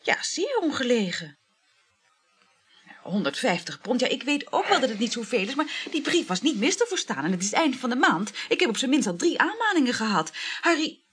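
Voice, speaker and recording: A flirtatious woman in a radio play. Her voice is husky and swings up and down as she gushes and sighs, chattering about lovers in an effusive, coaxing way. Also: fairly high pitch, very animated, fairly quick, fairly bright tone, heavily acted. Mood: chatty, pleading. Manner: chatty, effusive, sighing exclamations. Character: flirtatious, gushing, money-strapped woman juggling several lovers. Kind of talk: naturalistic radio play acting.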